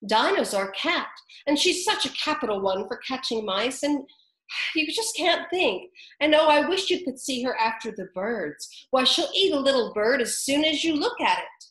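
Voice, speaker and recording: A woman's voice: high-pitched, sing-song, moderate pace, a bit bright, pitched above the performer's own voice. A woman reading the lines of a polite, eager young girl in a storybook, in an earnest, pleading way. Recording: roomy echo.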